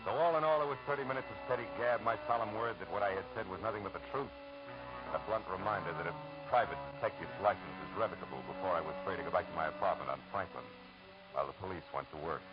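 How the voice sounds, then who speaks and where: An actor playing a wry, hard-boiled private detective in a 1940s radio noir drama, sardonic, clipped and full of wisecracks. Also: male voice, deep voice, quick pace, over music, close to an everyday voice. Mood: dry, storytelling. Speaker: lead actor and narrator. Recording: hissy, muffled old radio recording.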